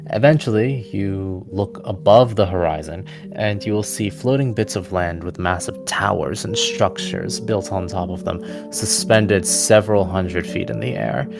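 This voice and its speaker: A man with a very low, gravelly voice acts as game master, narrating scenes for a tabletop roleplaying game. Music plays prominently behind him. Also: measured pace, few pauses. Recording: voice over prominent background music.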